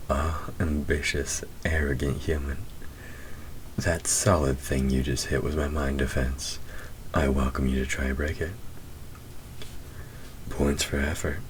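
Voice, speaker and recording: Man playing a very deep, slow, seductive demon. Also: flat delivery, a little hiss or hum. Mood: playful, taunting. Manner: slow, intimate, breathy, drifting into whispers. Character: teasing, flirtatious male demon with wings, secretly grieving. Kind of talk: scripted roleplay audio drama monologue.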